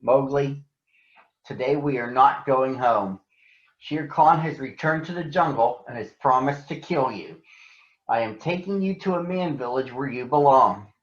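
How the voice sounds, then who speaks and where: A man voicing a protective panther who narrates a storybook jungle tale in a measured, earnest way. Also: medium pitch, natural voice. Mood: grave, warning. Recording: roomy echo, dull lo-fi sound.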